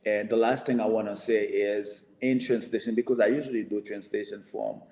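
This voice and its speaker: A man who grew up in Haiti, a Creole speaker, speaking on a live video-call panel in a halting way with many restarts. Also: fairly low pitch, fairly even intonation, dark tone. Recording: roomy with long reverb.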